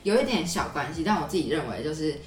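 A woman's voice: deep voice, big pitch swings, fast, distant mic. A young woman with a Taiwanese Mandarin accent, a podcast host telling stories in a casual, explanatory way. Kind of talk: casual conversational storytelling. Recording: roomy echo, off-mic, music underneath.

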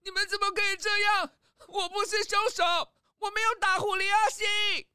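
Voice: very high-pitched, little pitch movement, normal speed, pushed and bright, no echo, studio quality. A performer playing a timid male getaway driver who pleads his innocence, speaking in a panicky way. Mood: desperate.

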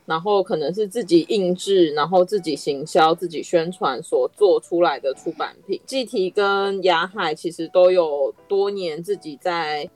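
A woman's voice: fairly low pitch, normal speed, hardly any pauses, a little mellow. A woman with a Taiwanese Mandarin accent hosting a podcast, explaining things in a conversational way. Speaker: podcast host.

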